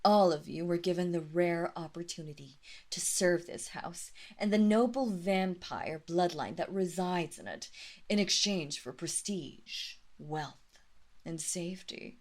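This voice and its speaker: An aristocratic vampire mistress, performed by a woman for a roleplay audio drama. She talks very slowly in a slightly low register, every phrase formal and measured. Her manner is condescending and quietly threatening, often sinking into a breathy whisper. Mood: cool, commanding. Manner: slow, deliberate, formal, condescending. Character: imperious female vampire mistress, coolly menacing aristocrat.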